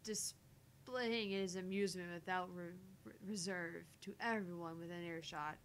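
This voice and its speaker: A woman's voice: fairly even intonation, a little slow. A woman reading a visual novel's narration aloud as a player, with casual asides. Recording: faint background rumble and noise.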